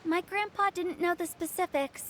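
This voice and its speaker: A woman's voice: extremely high pitch, normal speed, fairly bright tone, a little hiss or hum. A woman voicing a playful, mischievous young funeral director in a video game, teasing and spinning old tales. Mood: storytelling. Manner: teasing, theatrical, then storytelling. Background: game music.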